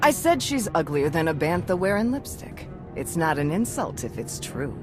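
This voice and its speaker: A woman's voice: sits low, very animated, moderate pace, music in the background. A woman playing a cocky, sarcastic young thief who talks in brash slang, in a video game. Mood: mocking. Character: cocky, sharp-tongued young female thief, defiant and sarcastic.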